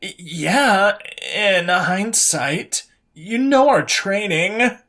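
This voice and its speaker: A woman's voice: mid-range voice, animated, very slow, fairly bright tone, voice pushed up, heavily acted. A storyteller voicing a young woman private who is earnest and slightly hesitant but stands her ground.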